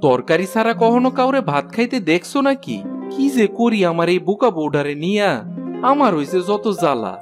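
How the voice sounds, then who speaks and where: A woman's voice: mid-range voice, very animated, brisk, over music, natural voice. A woman playing an exasperated village farmer husband in a cartoon, sarcastic and complaining, with a rural Bangladeshi accent. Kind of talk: cartoon voice acting.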